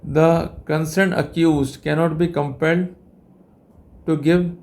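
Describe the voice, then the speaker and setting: A man's voice: fairly high pitch, steady tone, slow pace, darkish tone. A man with an Indian accent giving a legal lecture in a formal, wordy, didactic way. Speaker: legal educator and host.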